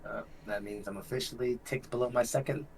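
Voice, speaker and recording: A man's voice: medium pitch, steady tone, brisk, a little mellow, a little hiss or hum, low-quality recording. A man playing a tabletop role-playing game, talking casually with a wry, sardonic edge and some swearing. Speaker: player.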